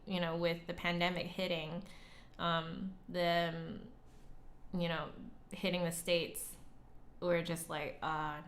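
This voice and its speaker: A woman chatting casually as a livestream host and flute teacher, conversational, with hesitant pauses and emphatic repeats. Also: fairly low pitch, a bit bright. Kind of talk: casual livestream chat, answering viewer comments.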